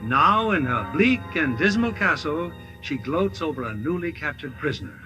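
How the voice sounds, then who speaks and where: A man's voice: a little high, animated, normal speed, pushed and bright, faint music behind, rough recording. A man narrating a story in theatrical 1950s radio style.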